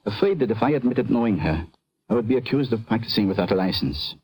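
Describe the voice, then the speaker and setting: Defensive immigrant doctor for vintage radio drama: formal, precise, indignant, rough-edged voice, dry close sound. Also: male voice, on the low side, expressive, fast talker, darkish tone, dry recording, poor audio. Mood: uneasy.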